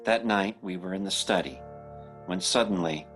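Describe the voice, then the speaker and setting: An actor playing an anxious young man who narrates a supernatural horror tale in old-time radio style, with a halting delivery. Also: deep voice, natural voice. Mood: grave narration. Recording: old-time radio drama with faint music.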